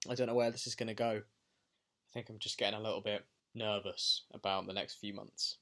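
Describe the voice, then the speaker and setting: A man's voice: fairly low pitch, flat delivery, moderate pace, pushed and bright. A young adult man talking reflectively in a personal video diary, pensive and hesitant as he thinks aloud. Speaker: vlogger and amateur filmmaker.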